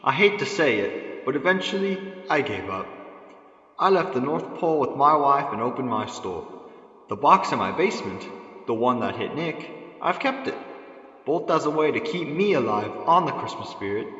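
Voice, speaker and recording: Guy acting as old santa, big swooping earnest declaiming, bright voice in a boomy echoey hall. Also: lively intonation, normal speed. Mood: wistful, earnest. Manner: theatrical, declaiming. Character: old forgotten Santa Claus turned bookstore owner, booming and earnest. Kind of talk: radio drama acting. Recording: echoey hall, dull muffled sound.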